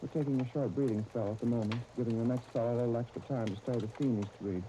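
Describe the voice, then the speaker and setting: A man's voice: mid-range voice, steady tone, rapid-fire, mellow, close to an everyday voice. An actor playing a timid, theatrical, easily flustered man in a 1930s radio serial. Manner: fussy, flustered, stammering. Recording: muffled, hissy 1939 radio broadcast.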